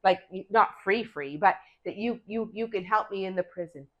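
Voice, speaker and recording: A woman's voice: medium pitch, moderate pace, muffled recording, close to an everyday voice. A woman voicing a kindly man in charge of a prison, speaking plainly with some stumbles. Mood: offhand.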